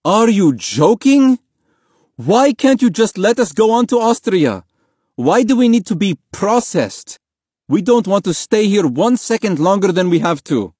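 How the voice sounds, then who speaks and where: A man's voice: high-pitched, very animated, unhurried, darkish tone, husky, dry recording, pitched above the performer's own voice, heavily acted. A man acting out a proud refugee father in a storybook reading, full of outrage and pleading. The voice rises above a middle register and swings widely, in a close, dry recording. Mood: outraged.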